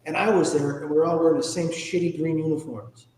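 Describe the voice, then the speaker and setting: A man's voice: on the high side, fairly even intonation, fast, darkish tone, slight background noise. Echoey American man speaking candidly. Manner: candid, informal, occasionally salty. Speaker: podcast guest, ethics trainer and public speaker. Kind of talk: reflective personal interview answers. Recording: echoey room with long reverb.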